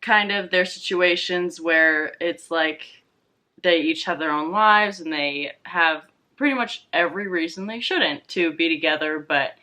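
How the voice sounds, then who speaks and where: A woman's voice: lowish voice, unhurried, pushed and bright. A young woman chatting casually about books as a vlog guest, with informal, tentative phrasing. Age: young adult. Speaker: guest co-host on a book vlog. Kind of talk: casual book chat.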